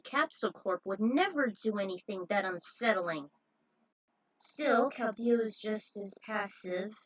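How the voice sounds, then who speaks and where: A woman's voice: medium pitch, a bit bright, rough recording. A woman reading a story aloud and adding casual commentary of her own. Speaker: narrator of a fan fiction reading.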